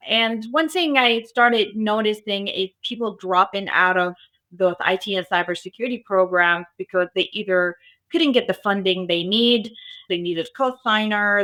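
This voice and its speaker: Bright-voiced woman. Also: mid-range voice. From Arabic-speaking country. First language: Arabic. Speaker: guest, founder of a cybersecurity school. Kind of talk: podcast interview. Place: Las Vegas area.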